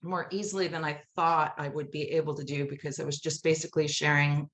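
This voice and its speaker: A woman's voice: low voice, little pitch movement, fast talker, a bit bright. A middle-aged woman chattily telling stories as an interview guest, often acting out remembered dialogue. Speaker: guest, musical playwright. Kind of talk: animated interview storytelling. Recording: roomy, echoey sound.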